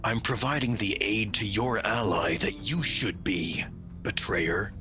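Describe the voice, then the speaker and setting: Man playing a gruff, growling beast commander. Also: on the low side, measured pace, music in the background, pitched below the performer's own voice, natural voice. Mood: scornful. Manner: growling, threatening. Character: gruff, menacing clawed jungle guard commander, hostile.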